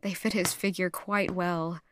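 A woman's voice: lowish voice, fairly even intonation, unhurried, slight background noise, close to an everyday voice. An actress playing a lovestruck, self-doubting young woman in an audio drama, speaking hesitantly and musingly. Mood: wistful, musing. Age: young adult. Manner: hesitant, musing, questioning herself. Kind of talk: audio drama acting, introspective monologue.

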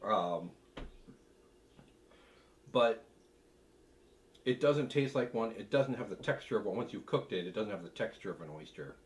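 A middle-aged man giving casual tasting commentary as a cooking video host, speaking in a halting, thoughtful way between bites. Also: on the low side, expressive. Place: home kitchen, seated at a table.

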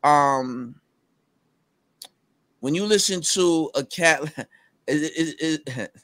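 A middle-aged man with a fairly high-registered voice, talking animatedly on a video call as a guest, full of fillers and quick bursts. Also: no room sound. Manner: animated, recites rap lyrics with bursts of energy, many fillers. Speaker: rapper guest. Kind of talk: casual video-call interview. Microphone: headset on a video call.

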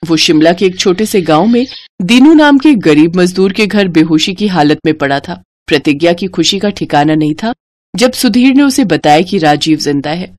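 A woman's voice: fairly low pitch, lively intonation, normal speed, a little mellow, dry recording. A woman reading a scripted story as its narrator.

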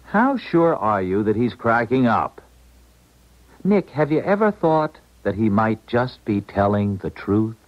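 Low-voiced, placating go-between. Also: male voice, big pitch swings, measured pace. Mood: defensive, reasoning. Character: loyal, placating male go-between in a defection plot. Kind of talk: 1970s American radio drama acting. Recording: muffled, noisy 1970s radio recording.

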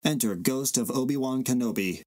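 Guy narrating short stage directions from a script, average pitch. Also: normal speed, darkish tone.

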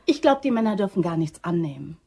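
An actress playing a nervous, eager office worker who is a robber's accomplice in a German crime radio drama. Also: female voice, fairly low pitch, very animated, fast talker, vocal fry, mellow, slightly rough, exaggerated voice. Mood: guarded.

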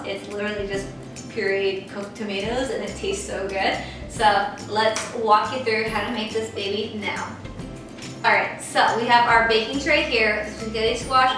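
A young woman hosting a casual cooking tutorial in her home kitchen, upbeat and chatty, with lots of "like". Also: fairly high pitch. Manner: chatty and upbeat, says "like" often. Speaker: cooking channel host. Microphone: far camera mic. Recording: echoey kitchen, mic at a distance, music underneath.

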